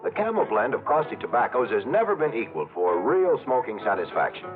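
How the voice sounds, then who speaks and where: A male announcer delivers an old radio commercial with a very animated, swooping delivery. Loud music plays behind him on a narrow, vintage broadcast recording. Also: mid-range voice, fairly quick. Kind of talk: mid-century radio advertisement read.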